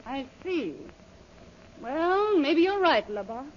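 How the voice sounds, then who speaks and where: Animated, commanding female gang boss. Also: high-pitched, takes it slowly, full character voice. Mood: piqued, wry. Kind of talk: 1930s radio adventure acting. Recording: muffled, noisy old-time radio recording.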